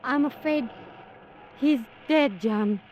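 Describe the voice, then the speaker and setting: A fragile, earnest young heroine of a vintage radio drama. Her voice is high and she speaks slowly and haltingly, shaken yet determined. The old recording is dull. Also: a little mellow, a little hiss or hum. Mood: shaken, grieving. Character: timid, shaken young woman, quietly brave and determined. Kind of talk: 1940s radio drama acting.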